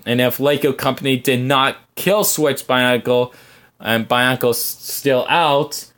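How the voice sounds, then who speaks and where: A man hosting a casual fan podcast, answering viewer comments in a halting, rambling way as he thinks aloud. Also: medium pitch, fairly bright tone. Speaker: podcast host.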